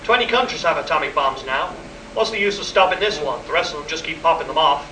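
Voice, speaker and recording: A man in a live radio play acting a skeptical military colleague, brisk, placating and exasperated. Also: a little high, expressive, bright, forceful tone. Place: convention hall stage, live performance. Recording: echoey distant live hall recording, faint noise.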